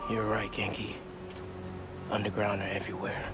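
An actor playing an earnest teenage male superhero in a video game, casual and wry as he thinks out loud. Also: fairly low pitch, monotone, moderate pace, natural voice. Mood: focused, hushed. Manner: wry, self-talking, casual. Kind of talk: video game cutscene acting. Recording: loud music behind the voice.

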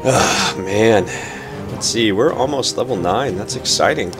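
A man's voice: lowish voice, unhurried. Chatty young man over game music. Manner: chatty, playful, easily excited. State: slightly stuffed up. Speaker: gaming channel host. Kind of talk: casual let's play commentary.

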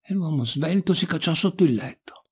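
A man reading a folk tale aloud as an expressive storytelling narrator. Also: fairly high pitch, a little fast, husky.

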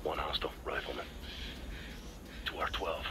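A man playing a watchful special forces scout, giving hushed, clipped reports in a video game. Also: extremely low pitch, steady tone, moderate pace, noisy background. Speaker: soldier in a squad. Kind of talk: tactical callouts in a video game.

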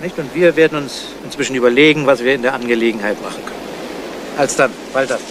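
A man's voice: medium pitch, fairly even intonation, brisk, background noise, close to an everyday voice. An actor playing a level-headed, businesslike male investigator in a 1970s crime radio play, speaking in a clipped, matter-of-fact way. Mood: calm, businesslike.

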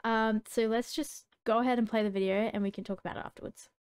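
A woman's voice: a little high, fairly even intonation, quick pace, clean tone. A young woman chatting casually and enthusiastically as a reaction video host. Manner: gushing, enthusiastic. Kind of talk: casual fan reaction chat.

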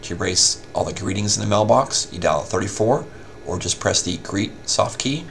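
Low, gravelly man giving tutorial. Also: lively intonation, normal speed, fairly bright tone, a little hiss or hum. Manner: informal step-by-step explaining. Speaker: help desk instructor. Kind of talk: casual screen-recorded software tutorial.

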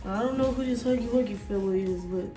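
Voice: medium pitch, fast talker. An adult casually and playfully interviewing children's puppets, using folksy phrasing. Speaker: parent hosting a children's puppet show. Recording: echoey room with music behind.

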